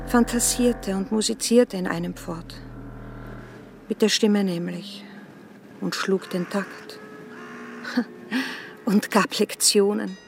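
An actress playing an old gardener's wife, simple, kindly and grieving, telling her story haltingly and from the heart in a radio play. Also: mid-range voice, fairly even intonation, gravelly, noisy background. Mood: sorrowful, tender. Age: older. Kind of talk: radio drama acting.

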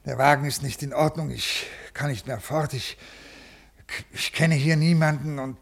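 An actor playing a stiff, agitated civil servant in a 1950s radio play, speaking formally and haltingly in a creaky voice. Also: male voice, fairly high pitch, steady tone. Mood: agitated, pleading. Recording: 1950s radio studio recording.

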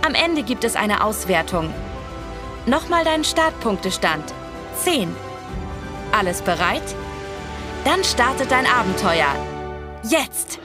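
A woman with a fairly high voice narrates as the guide of an interactive audio adventure. She gives scripted, directive instructions straight to young listeners, with music clearly audible behind her. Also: big pitch swings, slow, long pauses.

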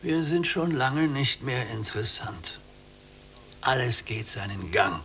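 An actor playing a blind, resigned old prisoner in a radio play, speaking in terse, cryptic aphorisms. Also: male voice, medium pitch, lively intonation, takes it slowly, a bit bright, slight background noise. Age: older. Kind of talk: literary radio play acting.

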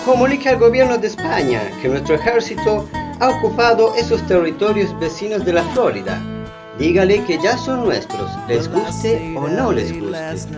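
Imperious bullying leader, swooping delivery. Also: male voice, high voice, very animated, normal speed, over loud music. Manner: imperious, dictating orders. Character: arrogant, bullying American expansionist leader. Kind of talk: satirical radio drama.

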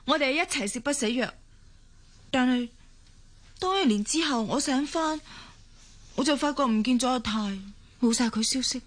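A woman's voice: sits high, animated, normal speed, pauses often. An actress with a Hong Kong Cantonese accent playing a devoted, persuasive woman in love in a radio drama. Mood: sad, bewildered. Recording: music behind the voice.

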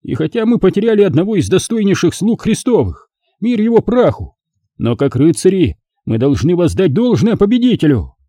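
Man playing a booming grand master, pitched high. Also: very animated, normal speed, dark tone, no room sound, clean studio sound. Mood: solemn, thundering. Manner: ceremonious, emphatic oratory. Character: booming, proud grand master of a knightly order.

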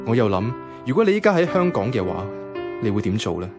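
Lively, lovelorn young Hong Kong man, voiced by an actor. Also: lowish voice, fast talker, over music. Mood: reflective. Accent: Hong Kong Cantonese. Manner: colloquial, introspective. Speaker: lead narrator. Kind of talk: first-person radio drama narration.

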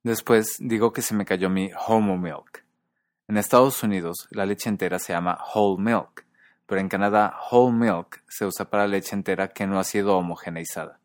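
Male podcast host, very low pitch, dry studio sound, measured explanatory read. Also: fairly even intonation, no echo. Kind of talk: scripted podcast narration.

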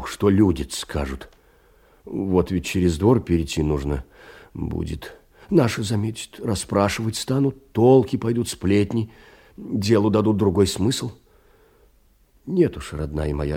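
An actor playing a humble, doting clerk who pleads and fusses tenderly in a theatrical audio drama. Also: male voice, low-pitched, gravelly, dark tone, slightly rough, full character voice. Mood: anxious, tender. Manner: fussy, pleading, tender endearments and repetitions.

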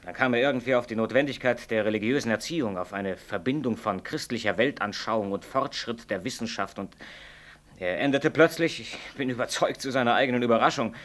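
An excitable male physician played by an actor in a sixties radio play. His voice is bright and tense, in a medium register. He comes across as a blunt, partisan colleague. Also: mid-range voice, a little fast, natural voice. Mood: agitated reporting. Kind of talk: 1960s German radio play acting.

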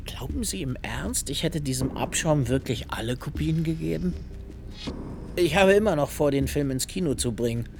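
A man's voice: on the high side, lively intonation, normal speed, creaky, noisy background. A man playing an agitated, boastful film director in a radio play, stammering as he rants with derision. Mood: indignant, defiant. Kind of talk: dramatic radio play dialogue.

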